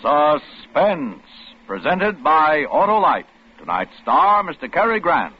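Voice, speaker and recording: Animated man announcing old-time radio. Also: fairly high pitch, takes it slowly, slightly rough. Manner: dramatic, formal. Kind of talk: 1940s radio announcing, scripted. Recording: muffled, noisy old radio broadcast.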